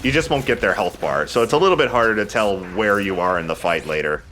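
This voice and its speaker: A man's voice: on the low side, animated, fast talker, a bit bright, slight background noise. A man hosting a let's play, chatting casually and agreeably about the game as he goes. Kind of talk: casual gameplay commentary.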